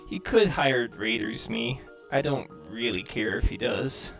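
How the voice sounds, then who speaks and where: Guy thinking out loud while gaming, robot-sounding glitchy mic, crackly vocal fry, bit of music behind. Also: mid-range voice, very animated, measured pace, dull audio. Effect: robotic-sounding microphone distortion. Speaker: gamer commentating own match. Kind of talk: casual game commentary, thinking aloud. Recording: robotic, glitchy home microphone.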